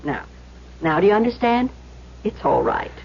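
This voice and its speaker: Lively young woman, old radio drama. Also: mid-range voice, normal speed, vocal fry. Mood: reasoning, soothing. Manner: expressive, coaxing. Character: teasing, skeptical young woman in a suspense play. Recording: dull old radio recording with hiss.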